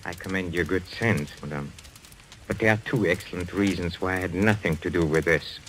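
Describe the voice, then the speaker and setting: A man's voice: low-pitched, a little fast, fairly bright tone. A man acting in an old-time radio mystery as a courteous, faintly mocking medium, speaking. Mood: suave, mocking. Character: suave, theatrical Frenchman, a séance medium under suspicion. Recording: noisy old radio recording.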